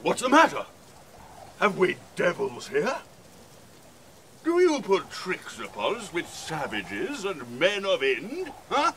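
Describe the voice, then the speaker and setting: Man playing a bright, swaggering drunken sailor. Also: mid-range voice, a little hiss or hum, full character voice. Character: drunken, swaggering, blustering male ship's butler. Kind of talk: Shakespearean comic audio play acting.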